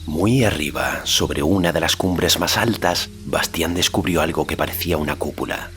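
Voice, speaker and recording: A man narrating a fantasy audiobook in a measured storytelling manner. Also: extremely low pitch, a bit bright, a little hiss or hum. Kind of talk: scripted narration of a fantasy novel.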